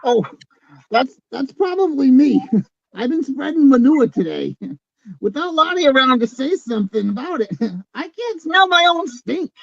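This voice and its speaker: A woman's voice: high voice, very animated, measured pace, vocal fry, no echo, dull audio, full character voice. A woman acting a folksy, good-humored, easygoing farm worker in an amateur play reading. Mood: amused. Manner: chuckling, easygoing.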